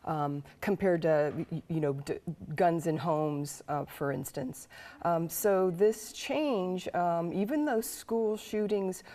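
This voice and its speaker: A woman's voice: fairly low pitch, slow, warm tone. A woman psychology professor answering questions in a live TV news interview, thoughtful, with frequent ums and stammered restarts.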